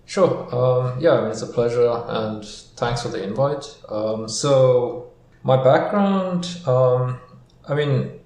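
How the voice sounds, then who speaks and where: A man from Sri Lanka and Singapore, a podcast guest answering questions in a relaxed way with many ums. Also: mid-range voice, slow pace. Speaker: guest, equity research founder. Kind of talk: casual interview answers. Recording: echoey room, faint noise.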